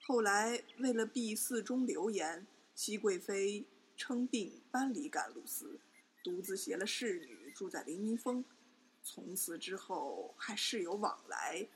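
A woman's voice: mid-range voice, slight background noise. A woman playing a pious, insinuating Buddhist nun in a Chinese period audio drama, speaking formally and deferentially.

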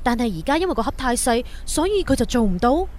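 An actress playing a curious, expressive young woman in a Cantonese sci-fi radio drama, with a Hong Kong accent. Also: fairly high pitch, fast.

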